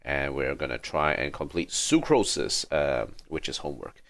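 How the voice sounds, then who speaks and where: A man's voice: very deep voice, lively intonation, a little slow, creaky, fairly bright tone. A man hosting a casual gaming livestream, talking in a hesitant, rambling way. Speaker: livestream host.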